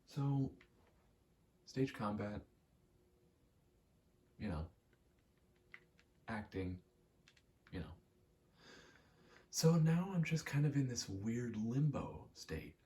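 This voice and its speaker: Very low, slow-talking man rambling. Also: a little mellow, a little hiss or hum. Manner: meandering, self-interrupting, understated. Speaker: ASMR creator. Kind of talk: rambling casual ASMR monologue while gaming.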